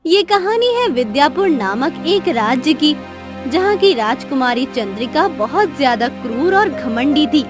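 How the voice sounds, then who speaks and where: A woman's voice: high-pitched, big pitch swings, measured pace. A woman narrating a scripted cartoon story as the storyteller. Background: background music.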